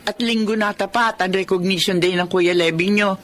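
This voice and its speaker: A woman's voice: medium pitch, steady tone, normal speed, fairly bright tone, lightly acted. An actress playing a fond, homely middle-aged mother in a Tagalog radio drama, chatty in manner. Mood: fond, content.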